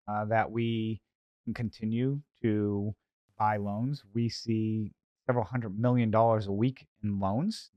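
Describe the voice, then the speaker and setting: A man's voice: lowish voice, a little mellow, no echo, muffled recording. A man with a Boston accent hosting a solo podcast, talking informally with conversational asides. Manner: rhetorical self-questioning, conversational asides. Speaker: podcast host, investor.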